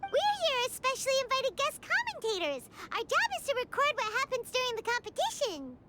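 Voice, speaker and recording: A woman's voice: extremely high pitch, big pitch swings, brisk, bright, forceful tone, full character voice. A woman voicing a tiny, pert, outspoken fairy companion in an anime-style video game. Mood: pert, eager. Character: tiny, squeaky, bossy floating fairy companion.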